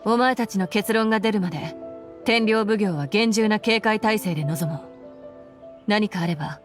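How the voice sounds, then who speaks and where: A woman playing a stern, brisk military commander in an anime game, with a formal, assertive manner. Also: medium pitch, soft background music, close to an everyday voice. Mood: firm, commanding. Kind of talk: Japanese anime game voice acting.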